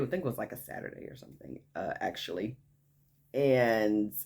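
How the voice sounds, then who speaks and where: Deep-voiced woman chatting excitedly. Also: vocal fry. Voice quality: naturally deep voice. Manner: chatty, frequent "okay?" asides, hyped enthusiasm. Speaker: vlogger. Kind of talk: casual story time vlog.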